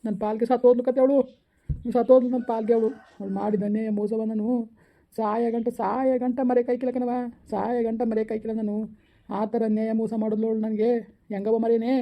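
Woman playing a fast, bitter village parent. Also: fairly high pitch, level tone, dull audio, lightly acted. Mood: bitter, angry. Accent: rural southern Karnataka. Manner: lamenting, emphatic repetition. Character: bitter, heartbroken village parent disowning a daughter. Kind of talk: amateur family melodrama.

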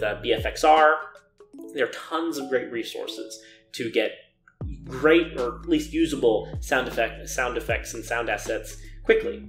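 A man's voice: medium pitch, a bit bright. Earnest young man in an echoey room. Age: young adult. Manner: earnest, emphatic, repeating intensifiers. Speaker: game jam organizer giving a keynote talk. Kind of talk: informal advice lecture to camera. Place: living and dining room at home, indoors. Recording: roomy indoor echo.

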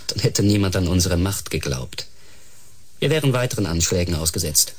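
Very deep, husky, cold menacing leader. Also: male voice, lively intonation, normal speed. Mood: cold, certain. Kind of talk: radio drama acting.

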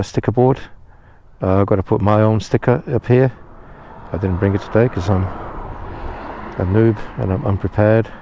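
Man vlogging outdoors, low mellow voice, rambling and self-deprecating, dry close sound. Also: dry recording. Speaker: motorcycle vlogger. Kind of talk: casual vlog talk to camera. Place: outdoors by a roadside phone box. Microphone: head-mounted action camera.

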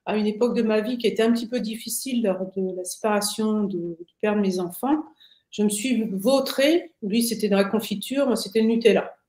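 A woman of sixty-six gives a personal account in conversation, often halting to find her words. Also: on the high side, darkish tone. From Paris, France. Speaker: interview guest, retiree. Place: indoors, plain room on video call. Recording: roomy, echoing video call.

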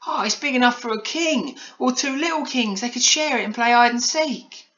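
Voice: medium pitch, lively intonation, moderate pace, bright, forceful tone, muffled recording, voice pushed up. A storybook reader voicing an earnest, wistful nine-year-old girl who exclaims and is easily amazed.

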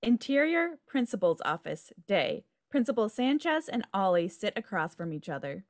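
A woman's voice: mid-range voice, big pitch swings, normal speed, no echo, studio quality. A woman reading stage directions as scripted narration for a table read. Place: home room on a video call.

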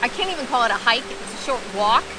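A woman's voice: a little high, expressive. A middle-aged woman vlogging outdoors, chatting casually with dry, teasing remarks. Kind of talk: casual travel vlog. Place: outdoors by a waterfall. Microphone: camera held at arm's length. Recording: rushing waterfall noise behind. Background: waterfall.

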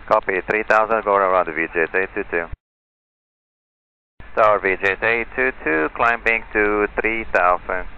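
A man's voice: on the low side, normal speed, pushed and bright. A man working as an airline pilot, making brief procedural readbacks over aviation radio. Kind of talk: radio readbacks to air traffic control. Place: aircraft radio transmission. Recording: narrowband cockpit radio with faint noise.

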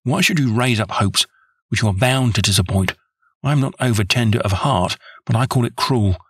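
A man reading an audiobook, voicing a self-assured, sly police inspector who talks in a brisk, dismissive way. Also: medium pitch, fairly bright tone, husky, no room sound, studio quality. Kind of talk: audiobook character voice.

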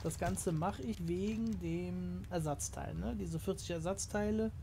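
A man hosting a gaming channel, chatting casually through his play and explaining it to viewers, his voice slightly hoarse from a cold. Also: high voice, a little slow, warm tone, a little hiss or hum. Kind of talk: casual let's play commentary.

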